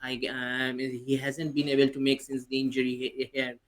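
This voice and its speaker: An eager man in casual podcast chat. He talks at a medium pitch, circles back and rephrases as he goes, and keeps his enthusiasm up throughout. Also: monotone, moderate pace. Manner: enthusiastic, often restarts sentences. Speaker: podcast co-host. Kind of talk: casual fantasy football chat.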